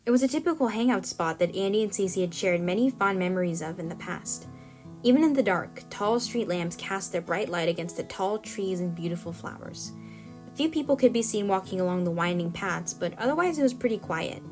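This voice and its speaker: A woman's voice: medium pitch, lively intonation, fairly quick, a little mellow. A woman reading scripted narration for an audio drama short story. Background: music.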